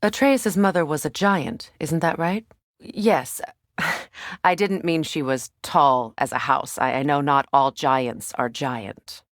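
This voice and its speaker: A grave, proud noblewoman from a video game. Her voice is on the low side and very dry in sound. She speaks in measured, pointed phrases. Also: dry recording. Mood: curious, dry. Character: blunt, proud noblewoman companion, probing and grave. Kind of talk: video game character dialogue.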